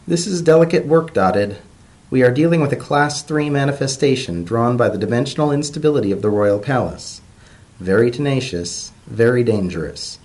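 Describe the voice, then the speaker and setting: A man voicing an earnest, excitable female pony professor who lectures pedantically in a fantasy story reading. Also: medium pitch, normal speed, voice pushed up, close to an everyday voice. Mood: grave, cautioning.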